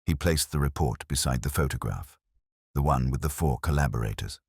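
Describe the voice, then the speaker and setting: Male mystery narrator, very low and breathy, deliberate and hushed delivery. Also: no room sound, clean studio sound.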